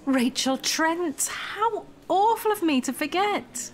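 A woman's voice: very high-pitched, expressive, takes it slowly, full character voice. Poised, polished young woman. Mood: apologetic. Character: polished, evasive young female finance professional. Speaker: actor in an audio drama. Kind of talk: naturalistic audio drama acting.

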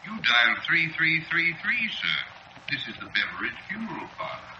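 Muffled, unctuous undertaker. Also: male voice, on the high side, very animated, moderate pace, bright, forceful tone, full character voice. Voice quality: plump, rounded voice. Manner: formal, fussily polite. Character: officious funeral parlor undertaker, unctuous, easily exasperated. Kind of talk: 1940s radio acting. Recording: muffled, very noisy old radio recording.

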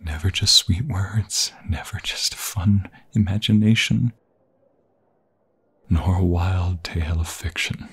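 A man playing a lovestruck, pleading vampire fiancé in an intimate romantic ASMR roleplay, breathy and husky, often slipping into whispers, with halting emotional delivery. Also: sits low, fairly bright tone, exaggerated voice. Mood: tender, intimate.

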